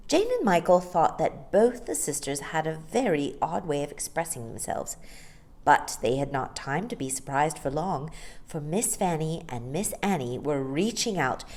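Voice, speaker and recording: A woman with a slightly low voice narrates a children's storybook. Her delivery is expressive, with pitch that moves widely through each sentence. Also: moderate pace. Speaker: storytime reader.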